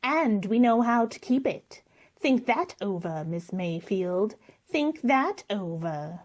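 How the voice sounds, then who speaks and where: A woman playing a brash, common, menacing woman in a radio play, speaking with a deliberate sneer. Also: medium pitch, animated, a little mellow. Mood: sneering, threatening.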